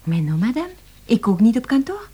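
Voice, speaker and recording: A woman's voice: on the high side, very animated, normal speed, mellow, husky. Young Frenchwoman, French accent, cheerful unflappable cook, voluble, swooping intonation, radio drama. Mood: protesting, chatty. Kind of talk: radio play acting.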